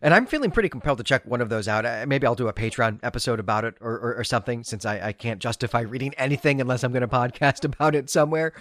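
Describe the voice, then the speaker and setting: A man's voice: medium pitch, very fast, few pauses, fairly bright tone, dry recording. A man hosting a solo podcast, reviewing books in an enthusiastic, emphatic, conversational way. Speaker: podcast host and book reviewer.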